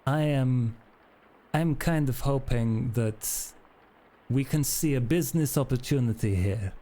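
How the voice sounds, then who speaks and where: A man's voice: medium pitch, a little slow, dark tone, lightly acted. A man playing a shady vampire drifter, eager and haggling, who stammers through his offers in a tabletop game. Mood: eager, bargaining.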